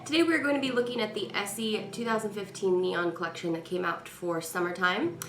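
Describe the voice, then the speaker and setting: A woman's voice: mid-range voice, brisk, slight background noise. A woman vlogger giving a chatty, rambling product review while stuffy and congested from a cold. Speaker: beauty vlogger. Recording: echoey room with faint music.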